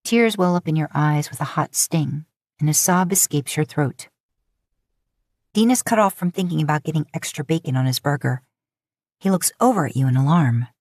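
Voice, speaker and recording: Casting: female audiobook-style narrator, low register, dry close sound, scripted fan fiction. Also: normal speed, a little mellow, no echo. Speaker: podfic narrator.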